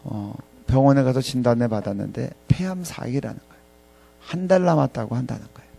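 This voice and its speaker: A man in his fifties preaching a reflective sermon to ministry staff in a halting way, pausing often and repeating phrases. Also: mid-range voice, creaky, dark tone, breathy edge. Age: 50s. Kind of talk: reflective sermon with scripture readings.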